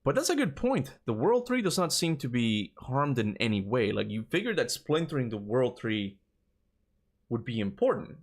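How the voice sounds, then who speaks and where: A man chats through a gaming livestream, thinking aloud as he plays. His voice sits at an ordinary mid pitch. He circles back over his own words and breaks into laughter easily. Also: sing-song, moderate pace. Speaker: gaming streamer. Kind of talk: casual livestream commentary.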